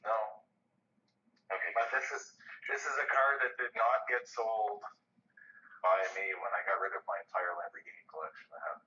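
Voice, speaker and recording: Deep-voiced joking man, far from the mic. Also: level tone, normal speed, sharp tone. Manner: joking, teasing, offhand. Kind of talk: casual livestream chat. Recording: roomy, distant, dull lo-fi sound.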